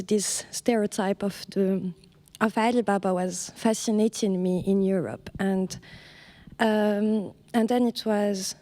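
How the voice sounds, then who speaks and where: A woman's voice: medium pitch, darkish tone. A woman artist from Morocco talking about her work on a panel, hesitant, often searching for words. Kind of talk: panel talk.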